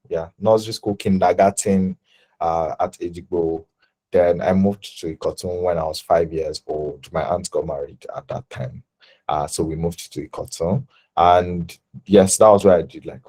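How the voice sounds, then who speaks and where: A young man in his twenties speaks with a Nigerian accent, his voice low and darkly mellow. He talks in a loose, halting way, stopping often on fillers and correcting himself mid-sentence. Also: poor audio. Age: 20s. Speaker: designer guest. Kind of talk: casual interview talk.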